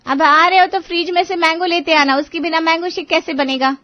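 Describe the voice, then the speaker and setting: Woman as high-voiced scheming sister-in-law. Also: steady tone, brisk, dry recording, muffled recording. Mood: firm.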